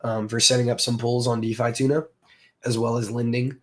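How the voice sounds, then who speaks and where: A hoarse man from the southern United States, hosting a casual livestream and chatting with viewers. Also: medium pitch, level tone, fairly quick. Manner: rambling, filler-heavy, greets chat viewers. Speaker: crypto livestream host.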